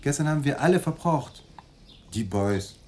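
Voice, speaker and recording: An actor playing a polite, chatty Tunisian hotel servant in a radio play, with a deferential manner. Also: male voice, mid-range voice, expressive, moderate pace, a little mellow, close to an everyday voice. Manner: deferential, chatty, sprinkles French words.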